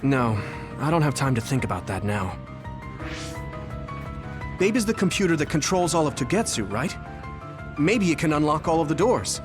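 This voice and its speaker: Earnest young fighter, animated delivery. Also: male voice, on the high side, normal speed, pauses often, big-room sound. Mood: thoughtful. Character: earnest, determined young male squad fighter. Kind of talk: English video game dub acting. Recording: background music under the voice.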